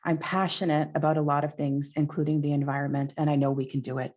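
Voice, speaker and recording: A low-pitched woman speaks with passion at a candidates forum and keeps returning to the same rhetorical questions. The recording is dull and lo-fi. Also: little pitch movement, quick pace, darkish tone. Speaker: Green Party candidate. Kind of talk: debate closing statement.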